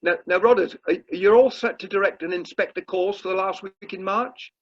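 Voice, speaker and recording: A man's voice: sits high, brisk. A man acting a fussy, self-important British drama society chairman who stammers a little, in an amateur radio play over a video call. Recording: muffled lo-fi video call audio.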